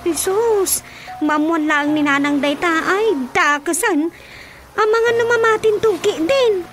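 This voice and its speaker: A woman's voice: extremely high pitch, measured pace, fairly bright tone, faint music behind. A woman playing a curious, exclamatory, scandalized villager in a Filipino radio drama, with a Filipino accent. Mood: shocked, curious.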